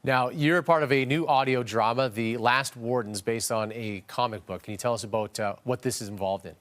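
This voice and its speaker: A man's voice: medium pitch, a little fast, a bit bright. A man hosting a broadcast interview with a polished presenter's delivery. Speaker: TV news interviewer.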